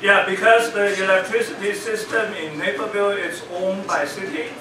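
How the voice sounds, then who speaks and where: A man with a high voice talks haltingly, stopping often on fillers. He is heard from far away in an echoey room with clear background noise. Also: fairly even intonation. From Taiwan. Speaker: audience member at a meeting. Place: meeting room. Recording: echoey room, distant mic, noisy.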